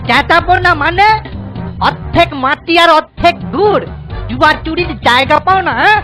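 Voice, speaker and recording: Casting: excitable cheating village shopkeeper, male, very high pitch, blustering and repetitive, cartoon with music underneath. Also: normal speed. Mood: outraged. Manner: blustering, stammering and repeating words. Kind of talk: cartoon comedy dialogue.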